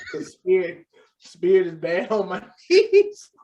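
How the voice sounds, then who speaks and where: A man's voice: high voice, wildly swooping pitch, very slow, a little mellow, highs cut off. A man co-hosting a casual talk show, laid-back, repeating himself and sighing over prices. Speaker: podcast co-host. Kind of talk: casual chat.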